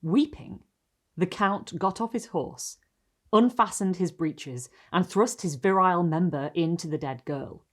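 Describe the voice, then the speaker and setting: A woman hosting and reading literary stories aloud in an expressive, theatrical style with deliberate phrasing. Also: fairly low pitch. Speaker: host and reader of a literary video series. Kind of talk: dramatic storytelling, scripted narration.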